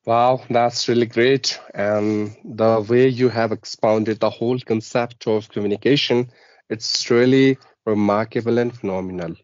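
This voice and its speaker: A man's voice: mid-range voice, fairly even intonation, a little slow, no room sound, lo-fi sound. A man interviewing a guest, speaking formally and praising effusively.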